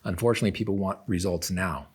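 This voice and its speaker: A man with a husky voice, speaking as a panelist and explaining things conversationally. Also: low voice, steady tone, fast talker.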